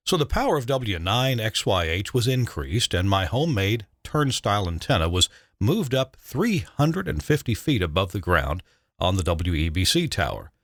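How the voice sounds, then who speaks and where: A man's voice: low voice, fairly bright tone, dry recording, clean studio sound. An older American man reading his own memoirs as a retired engineer, with a folksy, wry storytelling manner. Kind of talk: scripted first-person memoir narration.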